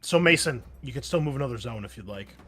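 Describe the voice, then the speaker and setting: A man's voice: mid-range voice, lively intonation, fast talker, a bit bright, slight background noise. A man acting as game master of a tabletop game, explaining the scene in an informal, conversational way. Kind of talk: casual tabletop game narration.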